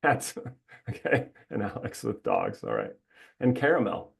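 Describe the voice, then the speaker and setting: A man's voice: fairly low pitch, wildly swooping pitch, a little slow, vocal fry. Upbeat chatty man hosting a webinar. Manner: upbeat, rambling, laughs often. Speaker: webinar host. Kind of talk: casual chatty webinar welcome.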